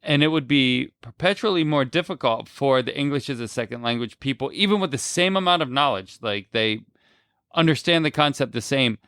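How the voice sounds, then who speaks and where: A man's voice: medium pitch, animated, brisk, a bit bright, no room sound, studio quality. A man co-hosting a podcast, talking casually and explaining his points. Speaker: podcast co-host. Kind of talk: casual conversational interview.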